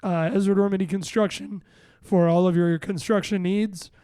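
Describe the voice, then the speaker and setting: A young man with a distinctly raspy, worn-out voice that sits up high for a man. He talks closely into the mic in a dead-dry room, rambling in a casual, joking way and restarting his sentences. He sounds like a podcast host chatting with a guest. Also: fairly even intonation, moderate pace, mellow, dry recording. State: hoarse, voice worn out.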